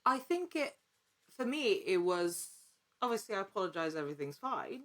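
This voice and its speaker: A woman speaking as a podcast guest in a casual interview, telling anecdotes in an animated, conversational way and acting out little quotes. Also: mid-range voice, normal speed. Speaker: podcast guest, actor and producer in actual play.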